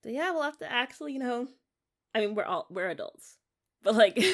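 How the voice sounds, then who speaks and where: A young American woman playing an eager, affectionate wife in an intimate ASMR romance roleplay, rambling and coaxing in a giggly way. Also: mid-range voice. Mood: playful rambling.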